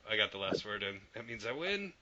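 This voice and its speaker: A man's voice: fairly low pitch, very animated, fairly quick, bright, forceful tone. A man co-hosting a podcast in casual chat, rambling and swearing casually. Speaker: podcast co-host. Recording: dull lo-fi podcast audio with faint noise.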